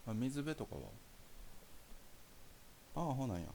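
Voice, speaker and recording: A man's voice: medium pitch, animated, a little slow, warm tone, a little hiss or hum. A young man with a Kansai Japanese accent playing a caring boyfriend in a naturalistic phone-call audio drama, chatting casually and hesitantly. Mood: concerned, sympathetic. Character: caring young boyfriend reassuring his anxious girlfriend.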